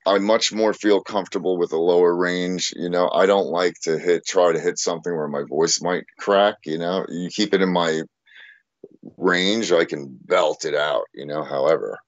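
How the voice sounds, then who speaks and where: A man with a very deep bass-tenor voice talks in a confident, easygoing podcast chat and keeps checking in with "you know what I mean". The recording is dry and a little muffled, with no room around it. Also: fairly even intonation, moderate pace, dry recording. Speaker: podcast co-host and streamer. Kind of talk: casual chat.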